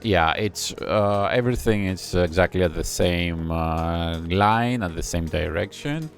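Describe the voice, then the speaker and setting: A man's voice: low voice, a little slow. A man hosting a music reaction channel, casually analyzing a track while thinking aloud and humming chords to explain. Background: faint song playing.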